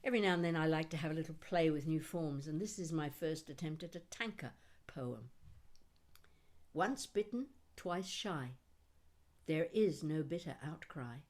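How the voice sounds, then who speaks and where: A mature woman reading her own poetry expressively, with deliberate phrasing and dramatic pauses. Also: low voice, darkish tone. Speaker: poet reading own work.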